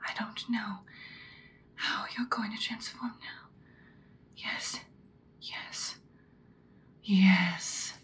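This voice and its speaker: A woman voicing an imperious, seductive ancient vampire in a breathy, dramatic whispered ASMR roleplay. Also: medium pitch, fairly even intonation, a bit bright. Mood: commanding, ominous. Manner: slow, deliberate, dramatic. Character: ancient female vampire, seductive, imperious, menacing yet protective.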